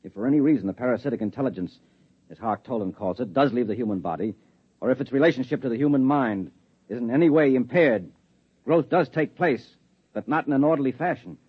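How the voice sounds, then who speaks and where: A cool, secretive husband who explains dark truths in a calm, patronizing lecture. His husky, mid-pitched voice sits in a dull, lo-fi 1950s radio recording. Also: quick pace. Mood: lecturing. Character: controlled husband hiding a secret, a security man. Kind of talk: 1950s radio drama acting.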